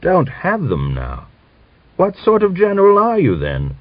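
A man voicing a pious, bitter old man of nearly a hundred, who scolds and preaches and reads scripture slowly and solemnly. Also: lowish voice, sing-song, dry recording, pitched above the performer's own voice, exaggerated voice. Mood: scolding. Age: older. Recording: dull dry recording.

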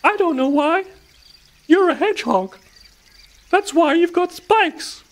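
A tiny female field mouse voiced by a man pipes up in a very high, squeaky falsetto. She is cheeky and bossy, a brisk storybook creature who speaks in lively exclamations. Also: exaggerated voice. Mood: matter-of-fact.

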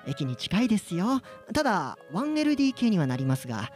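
A cheeky real estate agent in a comic radio drama. The voice is on the high side and swings up and down through polite salesman patter, with faint music behind. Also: huge pitch swings, fast.

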